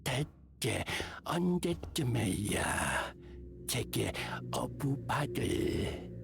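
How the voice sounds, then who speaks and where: An actor playing a stern, gravelly game character who talks like a needy kindergartner. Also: male voice, mid-range voice, very animated, brisk, noisy background.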